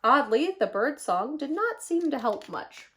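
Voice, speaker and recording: High, very animated woman storyteller. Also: measured pace, a little hiss or hum. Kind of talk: expressive children's picture-book read-aloud.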